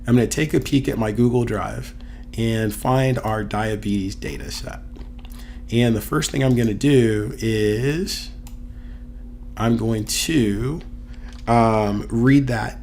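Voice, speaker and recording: A man with a voice hoarse from a cold, teaching a casual screen-recorded data tutorial as a university instructor, thinking aloud with fillers. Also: mid-range voice, takes it slowly, darkish tone, slight background noise.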